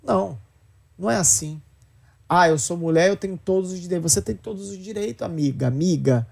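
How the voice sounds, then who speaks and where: A man talks in a blunt, lecturing way. His fairly high voice has a gravelly edge. He mocks the views he disagrees with. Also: a little fast, a little mellow. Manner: blunt, lecturing, mocking with put-on quotes. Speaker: podcast commentator. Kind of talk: opinionated podcast talk.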